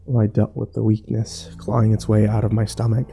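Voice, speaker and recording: A man's voice: on the low side, flat delivery, fairly quick, gravelly, mellow. A man playing a shaken, bitter survivor who tells his own story in a grim horror audio drama. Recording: music bed under the voice.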